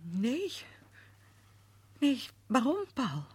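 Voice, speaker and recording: A woman's voice: on the high side, wildly swooping pitch, very slow, breathy edge, exaggerated voice. A woman playing an eager, anxious young woman in a radio play, breathless and pleading. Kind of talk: radio drama acting.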